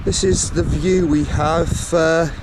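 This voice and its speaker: A man giving an informal vlog narration about his radio setup, speaking in a halting, hesitant way. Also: on the high side, steady tone. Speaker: amateur CB radio operator filming his setup. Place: outdoors or in a car on high ground at night. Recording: clear ambient outdoor and vehicle noise.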